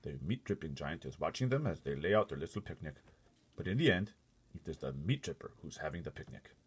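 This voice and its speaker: Big kindly storybook giant played by a man: husky, emphatic, folksy, with mangled grammar and a swooping delivery. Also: very deep voice, sing-song, a little fast, heavily acted. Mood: eager, explaining. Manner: muddled grammar and made-up words, emphatic. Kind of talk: storybook character voice.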